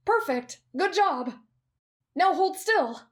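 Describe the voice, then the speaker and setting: A woman playing a kind, polite, earnest teenage boy in an anime fan roleplay, speaking gently and considerately. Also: very high voice, lively intonation, takes it slowly, pitched above the performer's own voice, heavily acted. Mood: eager, friendly.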